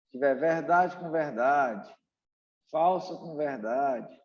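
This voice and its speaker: A man's voice: a little high, slow pace, lo-fi sound. Brazilian man teaching, in a long reverberant room. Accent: Brazilian Portuguese. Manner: didactic, emphatic, repeats key points. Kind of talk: exam-prep logic lecture.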